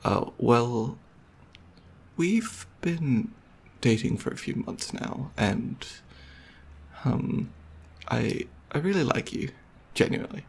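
Husky, creaky young boyfriend, played by a man. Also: medium pitch, lively intonation, slow pace, full character voice. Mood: nervous, tender. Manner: hesitant, soft-spoken, conversational, with mumbled asides. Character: gentle, nerdy, considerate young boyfriend on movie date. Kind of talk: intimate ASMR boyfriend roleplay.

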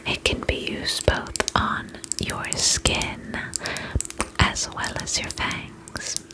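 A woman whispering breathily in a gentle, soothing, reassuring way as a spa attendant in an ASMR personal-attention roleplay. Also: very slow.

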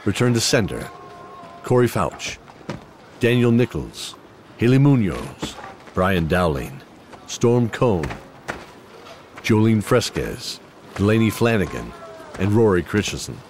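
A man's voice: fairly low pitch, very animated, slow, pauses often, a little mellow, slight background noise, heavily acted. A man playing a genial fantasy guide who hosts an audio drama, theatrical and folksy, with hesitant asides. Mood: welcoming. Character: genial male guide sending adventurers off. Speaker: host and storyteller. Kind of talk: in-character fantasy audio drama host narration. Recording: music behind the voice.

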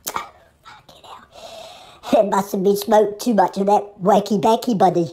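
A woman's voice: medium pitch, steady tone, normal speed, dark tone, a little hiss or hum. A woman leaving a matey, rambling comic message full of swearing. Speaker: comedic caller.

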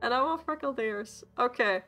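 Woman, mild Swedish accent, bright tense voice, slightly high, casual chatty streamer, faint music underneath. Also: normal speed. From Sweden. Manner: chatty, swearing, laughing often, rambling asides. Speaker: VTuber streamer. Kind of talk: casual gameplay commentary.

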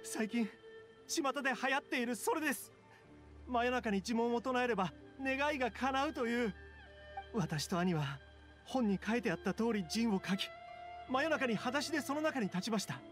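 A man's voice: very high-pitched, lively intonation, normal speed, a bit bright, soft background music. A man voicing a timid, desperate young man in an anime-style game, speaking politely and earnestly. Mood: panicked confession. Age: young adult. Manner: polite, earnest, anxious explaining.

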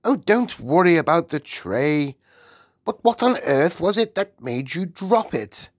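A woman's voice: on the low side, sing-song, a little slow, no room sound, clean studio sound, voice pushed up. A woman voicing an imperious, well-bred Queen in an audiobook, with grand, emphatic, exclamatory delivery. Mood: sharp, insistent.

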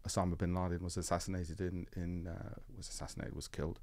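A man in his fifties from Leeds, a podcast guest chatting casually in an animated, joking way with quick asides and frequent restarts, recorded in a podcast studio on a desk mic. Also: low-pitched, creaky. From Leeds, England. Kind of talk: casual interview chat. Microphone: desk mic on a boom arm.

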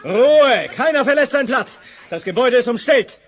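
An actor playing a determined, loyal and wry action hero in a German audio drama. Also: male voice, extremely high pitch, expressive, moderate pace, a crowd in the background. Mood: commanding.

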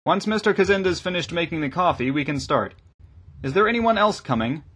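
Man performing eager lay preacher, kindly male engineer, hearty, welcoming, husky, audiobook character. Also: on the high side, animated, fairly quick, pitched above the performer's own voice. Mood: eager, hospitable. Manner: hearty, welcoming, gently correcting.

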